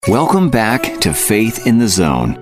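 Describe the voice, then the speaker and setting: Radio announcer guy doing the show intro, lively voice, guitar music behind. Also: lowish voice, takes it slowly. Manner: polished announcing.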